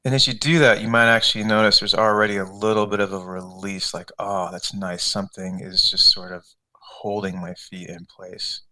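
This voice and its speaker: A man leading a guided meditation as a coach, giving unscripted, conversational instructions step by step with gentle repetition. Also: fairly low pitch, level tone, normal speed, poor audio.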